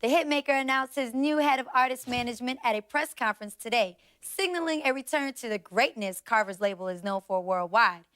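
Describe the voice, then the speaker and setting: A woman's voice: a little high, lively intonation, a bit bright, clear, resonant tone, no echo. A woman playing a composed news anchor in a video game, reading scripted reports formally with an American accent. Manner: polished, formal, measured reading.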